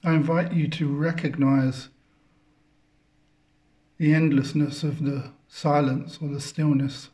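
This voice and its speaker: A man leading a guided meditation, speaking contemplatively in halting phrases with long pauses between them. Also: a little high, flat delivery, dark tone. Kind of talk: guided meditation, spiritual talk.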